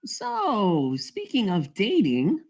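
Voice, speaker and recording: A meddling, motherly woman in her late forties voiced by an actress at a table read. Her husky voice swings widely in pitch. She is sharp-tongued and nags pointedly. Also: huge pitch swings, very slow, exaggerated voice. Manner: pointed, nagging. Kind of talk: live screenplay table read.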